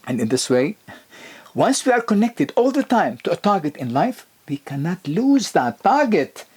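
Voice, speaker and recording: Husky man lecturing emphatically. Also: moderate pace. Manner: emphatic, lively rises in pitch on key points, many restarts and fillers. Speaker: health lecturer. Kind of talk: explanatory lecture video.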